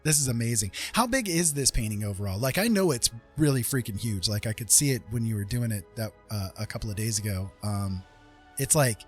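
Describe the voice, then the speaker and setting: A man's voice: medium pitch, animated, a little fast. Appreciative male livestream host over music. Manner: appreciative, effusive with thanks. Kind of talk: casual chat with livestream viewers. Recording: music playing behind the voice.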